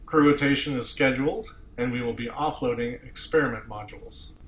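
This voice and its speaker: A man's voice: mid-range voice, brisk, fairly bright tone, natural voice. Man playing a proud starship captain, echoey room. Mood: formal. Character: male starship captain, formal, proud and sardonic. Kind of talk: amateur table read of TV script. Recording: echoey room with faint noise.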